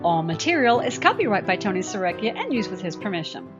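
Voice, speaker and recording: A woman announcer reads a show's closing credits in a clear, lively delivery, her pitch moving a lot from line to line. Her voice sits at an ordinary height for a woman. Loud theme music plays under her. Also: fast, dull audio. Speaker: show announcer. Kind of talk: scripted closing credits read.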